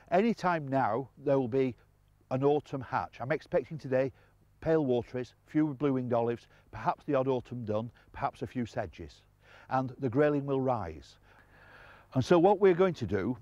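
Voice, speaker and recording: An older man talks through fly fishing while standing by a river. His mid-range voice is calm and explanatory, warming with enthusiasm for the fish. The outdoor recording sounds a little muffled. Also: medium pitch, no room sound. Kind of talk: outdoor instructional presenting.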